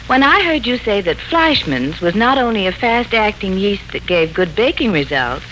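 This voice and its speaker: An actress playing an agreeable housewife in a scripted 1940s radio advertising testimonial. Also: female voice, medium pitch, very animated, moderate pace, pushed and bright, low-quality recording. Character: agreeable housewife who bakes for her family. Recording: 1940s radio broadcast, faint noise.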